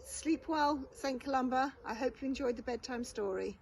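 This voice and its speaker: A woman reading a children's storybook aloud expressively, like a primary school teacher, with measured, deliberate phrasing. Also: high-pitched, creaky, slight background noise.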